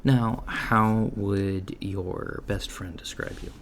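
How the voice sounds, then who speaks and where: A man playing an easygoing, reassuring alien job interviewer in a soft-spoken ASMR roleplay, talking casually and haltingly and trailing off. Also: lowish voice, fairly even intonation. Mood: relaxed, hesitant. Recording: lo-fi with clear background noise. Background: computer keyboard typing.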